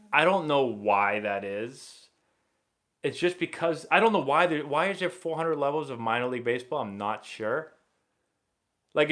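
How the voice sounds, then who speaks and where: Rambling excitable man hosting a baseball stream. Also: medium pitch. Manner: rambling and excitable, many fillers and restarts. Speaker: host of a live baseball Q&A stream. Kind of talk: casual live-stream chat answering viewer questions.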